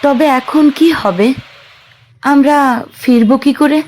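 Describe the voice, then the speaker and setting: A woman's voice: high-pitched, takes it slowly, a little mellow, slight background noise. A woman acting as a young woman stranded on an island with her fiancé, in an audio drama. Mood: worried. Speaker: Jina.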